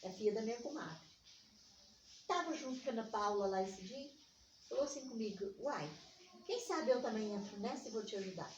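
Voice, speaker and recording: An older woman with a Minas Gerais accent recounts her life in conversation, slipping into quoted dialogue with animation. Her voice sits a little high. The sound is dull and roomy, picked up at some distance from the microphone. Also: a little fast. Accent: Brazilian Portuguese from Minas Gerais. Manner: animated, re-enacts remembered dialogue. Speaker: interviewee, community organizer. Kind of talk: personal storytelling in conversation. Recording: echoey room, distant mic, dull, faint noise.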